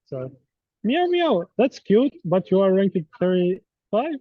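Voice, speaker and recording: A man's voice: sits high, sing-song, takes it slowly, no room sound. A native Arabic-speaking man teaching an online course, running a live quiz in a playful, teasing way. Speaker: course instructor. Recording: dull, dry online call audio.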